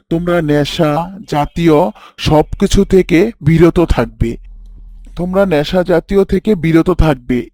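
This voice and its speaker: Man playing a patient father, slightly high voice. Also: mellow, no room sound, studio quality. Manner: patient, approving, preachy. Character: kindly, pious father gently teaching his young son. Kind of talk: children's moral cartoon dialogue.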